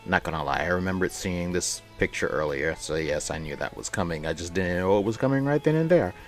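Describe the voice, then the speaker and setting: A man with a fairly low voice and very lively intonation hosts a casual livestream. He rambles playfully, repeating phrases as he chats and reads aloud. Faint music plays behind him. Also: brisk. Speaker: live streamer. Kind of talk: casual livestream chat and reading a visual novel aloud.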